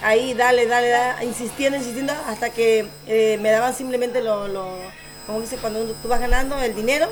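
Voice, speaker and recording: A woman's voice: a little high, steady tone, fairly quick, faint music behind. A woman recounting a story in casual chat, repeating words insistently.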